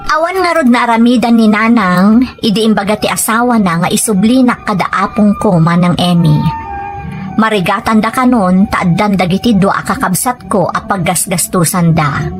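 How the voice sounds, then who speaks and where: A woman's voice: medium pitch, normal speed, music in the background, natural voice. A woman with a Filipino accent voicing a sorrowful young daughter who narrates her own story from a script. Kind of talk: scripted first-person narration.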